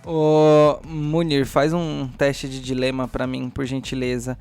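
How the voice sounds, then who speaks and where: A Brazilian man narrating a tabletop game as game master, in a deliberate, theatrical storyteller's manner. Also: medium pitch, monotone, a little mellow, smooth, faint music behind.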